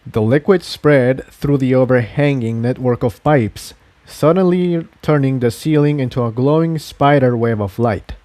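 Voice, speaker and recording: A man's voice: medium pitch, measured pace, dark tone. A man reading fan fiction aloud as an amateur narrator, in scripted storytelling style.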